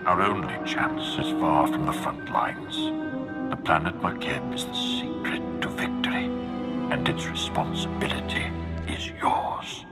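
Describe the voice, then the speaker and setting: An actor playing a grim, commanding dark lord in a video game, speaking in a grave, formal and measured way. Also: male voice, extremely low pitch, huge pitch swings, heavily acted. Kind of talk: scripted video game acting. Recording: game music behind the voice.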